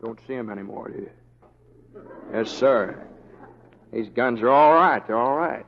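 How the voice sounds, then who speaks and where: An actor playing an easygoing young western deputy in a radio drama, stubborn in a folksy, hesitant way. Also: male voice, medium pitch, measured pace, creaky, very noisy. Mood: mild, folksy. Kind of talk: 1940s radio acting. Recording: dull old radio broadcast recording.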